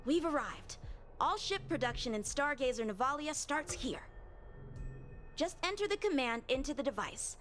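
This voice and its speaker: Casting: a candid young female game guide, high-pitched, very animated, music behind. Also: normal speed. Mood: chatty, bright. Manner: expressive, casual, candid. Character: lively, candid young woman military trainee guide. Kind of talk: video game voice acting.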